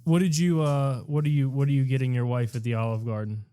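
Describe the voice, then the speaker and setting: A male host trades casual, bawdy jokes on a live comedy show. His tone is relaxed and dark rather than sharp, with a mock-earnest delivery, and the sound is very dry. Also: mid-range voice, fast, clear, resonant tone, no room sound. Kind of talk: casual, bawdy comic banter.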